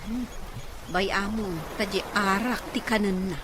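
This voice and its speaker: A woman's voice: mid-range voice, fairly even intonation, measured pace, natural voice. A woman playing a tired mother and wife who scolds her husband about his drinking in a radio drama. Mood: weary. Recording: noisy radio recording.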